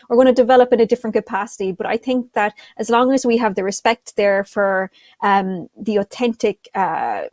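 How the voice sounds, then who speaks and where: A woman's voice: mid-range voice, darkish tone, no room sound, studio quality. A young woman speaking enthusiastically as a panelist on an online call, with many fillers and restarts. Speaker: panelist, content creator. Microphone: video call.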